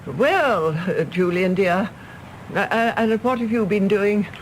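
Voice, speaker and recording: A woman's voice: mid-range voice, slow, a little hiss or hum, lightly acted, rough recording. An actress playing a fussy, doting elderly mother with an English Received Pronunciation accent, fluttery and hesitant, in a radio drama. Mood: fussy, fond. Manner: fluttery, hesitant, repeating herself. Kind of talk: British radio drama acting.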